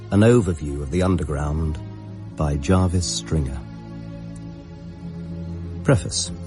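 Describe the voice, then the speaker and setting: An actor playing an eager, polite, bookish railway enthusiast with an English accent, earnest and slightly flustered, in a radio drama. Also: male voice, low-pitched, mellow. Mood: earnest, wondering.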